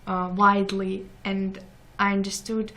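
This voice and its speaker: A young woman from Ukraine with a Ukrainian accent, a guest in an interview, speaking halting non-native English and searching for words. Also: mid-range voice, monotone, fairly bright tone. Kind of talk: casual interview chat.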